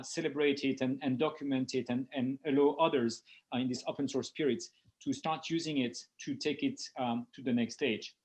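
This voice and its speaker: A man with a French accent giving an off-the-cuff talk on an online panel, speaking reflectively and haltingly with many pauses and repeated phrases. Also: medium pitch. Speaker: education organization leader speaking at a festival.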